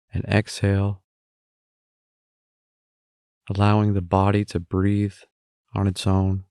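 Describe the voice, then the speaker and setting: A man leading a guided meditation as the guide, speaking in a calm, gentle, instructing manner with pauses. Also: fairly low pitch, clear, resonant tone, no room sound, clean studio sound.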